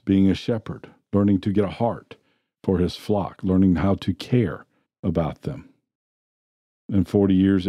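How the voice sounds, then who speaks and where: A man preaching a sermon in a church, retelling scripture stories in a plain, steady way. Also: deep voice, dry recording. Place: church sanctuary.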